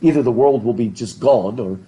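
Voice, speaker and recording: Stuffy-nosed professor lecturing a class. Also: male voice, medium pitch, brisk, noisy background. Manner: chatty, laughing, asks the class questions. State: stuffed up nose. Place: classroom with a blackboard. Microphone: laptop webcam.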